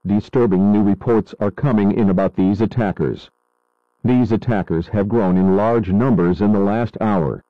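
A grave radio newsman, acted by a man, reports breaking disaster coverage in a lowish voice. He is serious and explanatory, and the sound is dry and slightly dull. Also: moderate pace, dry recording, lightly acted. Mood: grave concern. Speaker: radio news anchor. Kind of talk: scripted breaking news coverage.

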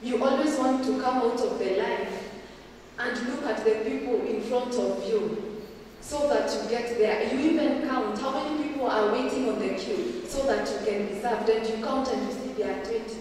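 A woman's voice: on the high side, darkish tone, far from the mic, a little hiss or hum. Young Kenyan woman preaching in echoey hall. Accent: Kenyan English. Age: young adult. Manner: earnest exhorting, slows for emphasis, gestures while speaking. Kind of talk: sermon. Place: church hall, indoors. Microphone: handheld mic, camera from a distance.

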